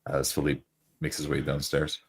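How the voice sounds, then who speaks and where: Male tabletop player, extremely low voice, narrating his character's moves and lines. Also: monotone, normal speed, husky. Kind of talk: roleplay, narrating and speaking in character.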